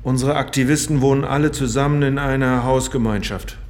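An actor playing a matter-of-fact police colleague in a radio crime drama, reporting in a laconic way. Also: male voice, medium pitch, steady tone, fairly quick, a little mellow, a little hiss or hum, lightly acted.

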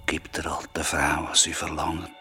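An actor playing an old grandfather in a horror radio play, with a hushed, grave voice and a Swiss German accent. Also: extremely low pitch, completely flat delivery, moderate pace, husky, heavily acted.

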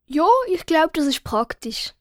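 A reserved young boy, voiced by a woman speaks Swiss German in a high, childlike pitch. He is curt but polite, as in a children's audio story, recorded close and dry. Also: a little slow, no room sound.

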